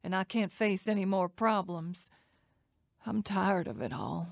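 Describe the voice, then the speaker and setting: A woman's voice: medium pitch, a little slow, gravelly, dry recording, studio quality. An actress playing a weary, despairing mother in her forties who rambles and repeats herself in a radio drama. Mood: hopeless. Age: 40s.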